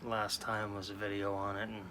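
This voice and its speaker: A man in his late forties from New York, talking slowly and haltingly in a sad, subdued way as a sports card vlogger. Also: on the low side, monotone, background noise. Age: 40s. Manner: halting, subdued, many restarts. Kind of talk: casual personal talk to camera.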